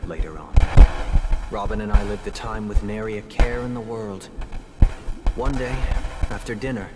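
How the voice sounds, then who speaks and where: A calm, well-spoken young man , voiced by a male actor, leads his listeners with formal, measured phrasing in a game cutscene. His voice sits a little low. Loud game music plays underneath. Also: close to an everyday voice. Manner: measured, formal, softly persuasive. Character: composed, eloquent young man leading others gently. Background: loud game soundtrack music.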